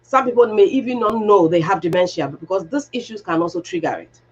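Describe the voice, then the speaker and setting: A woman's voice: mid-range voice, animated, fast, slight background noise. A woman hosting a radio health talk show who asks direct, plain questions. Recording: dull muffled radio audio.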